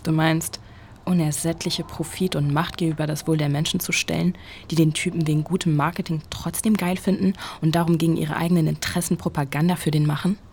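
A woman's voice: sits low, level tone, brisk, a little mellow, a little hiss or hum. A young woman playing a dry, sarcastic critic in a naturalistic audio drama conversation.

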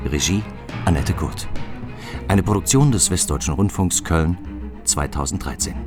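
A man's voice: low-pitched, normal speed. A man reading news and credits in a formal, scripted radio announcer style. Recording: music behind the voice. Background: music.

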